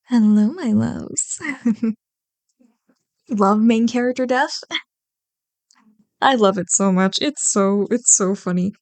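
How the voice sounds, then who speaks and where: A woman's voice: medium pitch, slow pace, long pauses, a little mellow, no echo. A young woman, an ASMR roleplay creator, chatting casually and affectionately to her listeners in a rambling, apologetic way.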